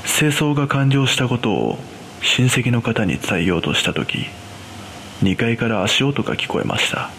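A man playing an uneasy cleaner, narrating a scripted first-person horror story in a measured, hushed way. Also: mid-range voice, animated, gravelly, a little hiss or hum. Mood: grave, uneasy. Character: uneasy male trauma-scene cleaner recounting an eerie experience.

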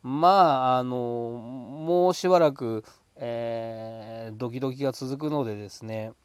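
A man hosting a casual solo talk show, speaking politely with drawn-out hesitations between phrases in a dry, close room. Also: mid-range voice, smooth, dry recording. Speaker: podcast host.

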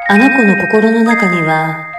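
A woman playing a gentle, teasing lady who watches over the young in a radio drama. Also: medium pitch, measured pace, close to an everyday voice. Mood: fond, teasing. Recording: roomy, distant, under loud music.

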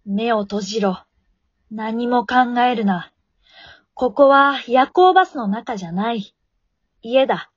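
A woman's voice: fairly high pitch, lively intonation, takes it slowly, pauses often, dry recording. A woman playing a tired working woman who narrates her struggle to sleep in a radio drama monologue. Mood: self-commanding. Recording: dull lo-fi remote home recording.

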